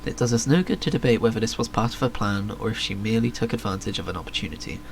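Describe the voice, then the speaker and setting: A man's voice: lowish voice, rapid-fire, creaky, slight background noise. A man live-streaming a game, reacting casually and wryly and reading quest text aloud. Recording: game music behind. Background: game music.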